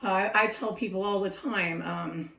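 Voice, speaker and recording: A woman's voice: mid-range voice, steady tone, measured pace, vocal fry, bright, forceful tone. Scratchy-voiced middle-aged woman, roomy echo. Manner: chatty, reflective, laughs often. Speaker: senior care worker sharing advice. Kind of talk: casual talking-to-camera vlog. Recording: roomy echo, mic a bit distant.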